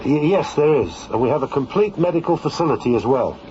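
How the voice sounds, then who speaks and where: An actor playing a genial, gallant freighter captain in a space radio drama, affable and chatty in manner. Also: male voice, expressive, brisk, lightly acted. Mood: helpful. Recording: lo-fi radio drama, loud rumbling engine noise.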